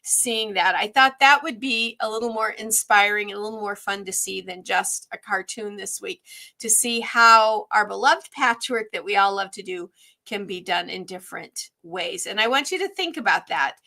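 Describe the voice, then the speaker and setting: A slightly hoarse woman hosting a live online quilting workshop, chatty and upbeat, with frequent ums. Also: medium pitch, normal speed, sharp tone.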